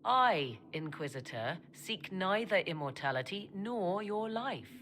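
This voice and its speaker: A woman playing a proud, haughty mage and scholar in a video game, speaking formally with deliberate, imperious diction. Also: medium pitch, sing-song, noisy background. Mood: firm, persuasive. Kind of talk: dramatic video game acting.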